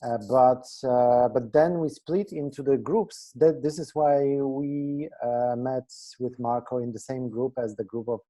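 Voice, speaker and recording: A man's voice: medium pitch, measured pace, few pauses, warm tone, low-quality recording. A Polish-born journalist, a man speaking English as a second language, who restarts phrases while answering questions. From Poland. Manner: searching for words, restarts phrases. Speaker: journalist guest. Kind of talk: casual interview answers. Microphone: video call.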